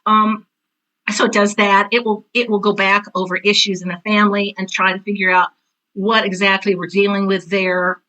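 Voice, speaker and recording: A woman's voice: mid-range voice, flat delivery, moderate pace, bright, forceful tone, soft background music, low-quality recording. An older woman talking casually as an interview guest, with a direct, intense and emphatic way of repeating phrases. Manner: self-described intense, direct. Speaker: guest, theater teacher and author.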